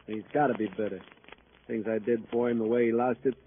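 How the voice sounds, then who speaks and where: An actor playing an uneasy, blustering fight manager in a 1950s radio crime drama, excitable and patronizing. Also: male voice, mid-range voice, fairly quick, slightly rough. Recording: tinny, hissy old radio recording.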